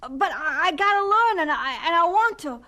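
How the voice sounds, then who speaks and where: High-voiced, earnest little boy. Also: expressive, pushed and bright, heavily acted. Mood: troubled, hesitant. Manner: hesitant, halting, stammering. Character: polite, earnest young boy, shy and curious. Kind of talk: radio drama acting.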